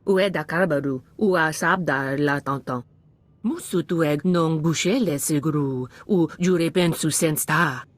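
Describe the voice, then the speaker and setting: A woman's voice: sits low, unhurried, no room sound. A woman voicing a businesslike alien dealer in a video game.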